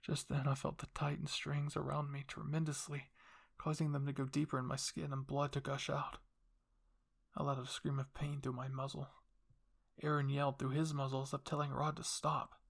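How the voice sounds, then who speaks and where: A man's voice: mid-range voice, completely flat delivery, moderate pace, vocal fry, husky. A man reading scripted story narration as the host of an ASMR roleplay channel.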